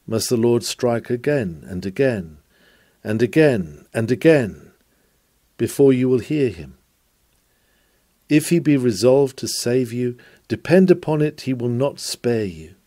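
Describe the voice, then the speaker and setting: A man delivers an old-fashioned sermon, reading it aloud with earnest, formal oratory. His voice is soft-edged and mellow, and the sound is close and dry, with measured phrases that press the listener. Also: mid-range voice, expressive, no echo. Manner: earnest, exhortatory, rhetorical questioning. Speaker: reader of a historic sermon.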